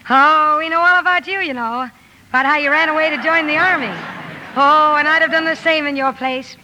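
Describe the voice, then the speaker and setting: A woman's voice: sits high, expressive, normal speed, sharp tone, a little hiss or hum, low-quality recording. An actress playing a spirited, impulsive tomboy young woman in a period drama, hearty, eager and theatrical. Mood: flustered eager. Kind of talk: period radio drama acting with narration.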